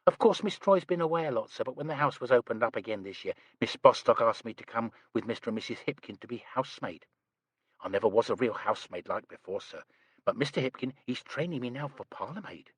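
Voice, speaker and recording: A man's voice: mid-range voice, expressive, quick pace, husky, no room sound. A male narrator voicing a nervous, chatty young English housemaid who is prim and says sir constantly. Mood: chattering.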